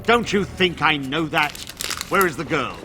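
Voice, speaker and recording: A man's voice: on the high side, expressive, a little slow, over music. A man playing a wrathful templar knight commander in a fantasy video game, harsh, formal and commanding. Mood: angry.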